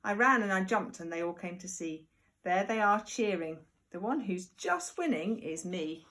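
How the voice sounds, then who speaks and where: A woman reading a picture book aloud to young children like a primary teacher, expressive and deliberate, with chatty asides. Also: medium pitch. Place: at home.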